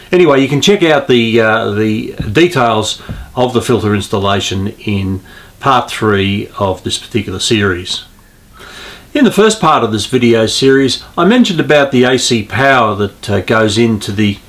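Man, 50s, hobbyist tutorial host, lowish voice, informal and halting delivery. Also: a bit bright. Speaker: host of an amateur radio hobby video. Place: small indoor radio room at home.

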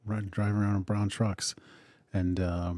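A man in his forties from Western Pennsylvania, co-hosting a radio talk show in an easygoing, halting way, with frequent uhs. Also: lowish voice, flat delivery, a little mellow, no room sound, clean studio sound. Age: 40s. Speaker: co-host.

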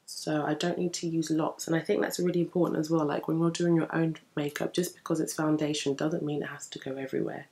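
Young female beauty vlogger, deep gravelly morning voice, chatty upbeat tutorial talk, camera mic indoors. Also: little pitch movement, fast, few pauses, dark tone. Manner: chatty, upbeat, explaining while applying makeup. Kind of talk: casual makeup tutorial chat. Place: indoor room in front of a bookshelf. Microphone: camera at desk distance.

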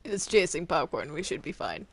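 A woman's voice: low voice, sing-song, normal speed, gravelly, slight background noise. A woman chatting casually in improvised roleplay while playing a video game. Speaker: gamer in a roleplay.

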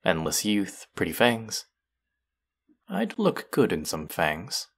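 A man's voice: fairly low pitch, huge pitch swings, takes it slowly, creaky, fairly bright tone, exaggerated voice. A man voicing a smug, taunting young fantasy villain who menaces his captive in a theatrical roleplay audio monologue. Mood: menacing, smug.

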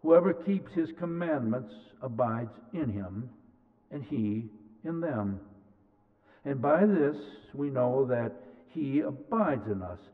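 A middle-aged man reads scripture slowly and deliberately from a pulpit. His voice is tinny and narrow, like a phone line, and a long reverb trails each phrase through the church sanctuary. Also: medium pitch, animated. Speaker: scripture reader. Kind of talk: slow scripture reading. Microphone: pulpit mic, far camera.

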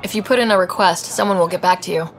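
A woman's voice: fairly low pitch, quick pace, close to an everyday voice. A woman playing a capable, earnest young space explorer in a video game, speaking plainly and in a businesslike way. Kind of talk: video game dialogue acting.

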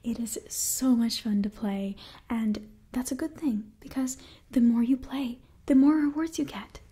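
A woman in her twenties giving an enthusiastic ASMR sponsor read in a soft, breathy whisper, close to the microphone. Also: a little high, a little slow. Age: 20s. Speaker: ASMR creator and host.

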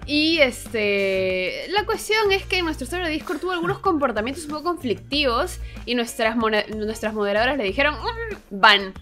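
A woman's voice: high-pitched, sing-song, moderate pace, sharp tone, faint music behind. A young woman co-hosting a channel, telling a story in a casual, conversational way. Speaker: co-host of a channel.

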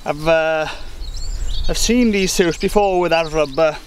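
A young male beekeeper talks casually and upbeat in a slightly higher voice, thinking aloud as he goes. He is recorded outdoors in a rural field by a camera a few metres off. Birdsong and a faint outdoor hiss sit behind him. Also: a little slow. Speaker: beekeeper reviewing gear. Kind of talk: casual product review vlog. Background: birdsong and faint outdoor ambience.

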